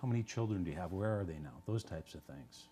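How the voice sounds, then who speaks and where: Low-voiced local TV host. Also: male voice, noisy background. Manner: casual, halting, friendly questioning. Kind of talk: local TV interview.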